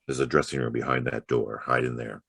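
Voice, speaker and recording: A man's voice: extremely low pitch, fairly even intonation, brisk, gravelly, very noisy. An actor playing a world-weary, wisecracking private detective in a noir audio drama, with wry, clipped tough-guy delivery. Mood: brusque, commanding. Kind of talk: noir radio-play acting and first-person narration.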